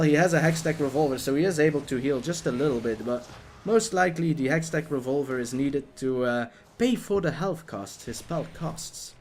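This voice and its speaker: A young man with a Dutch accent giving excitable, jokey live commentary on a video game, talking to the players on screen. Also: mid-range voice, slight background noise.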